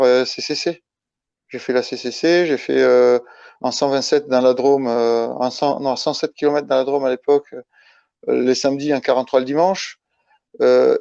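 A man from Italy speaking as a guest in a casual interview, halting and restarting his phrases as he explains. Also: medium pitch, gravelly, dark tone. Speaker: interview guest, ultra-trail runner. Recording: dull lo-fi, roomy echo.

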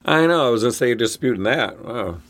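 A man's voice: medium pitch, expressive, a bit bright. A man co-hosting a casual podcast, mostly reacting to his partner with short comments and jokey asides. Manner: chatty, quick backchannel reactions and asides. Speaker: podcast co-host.

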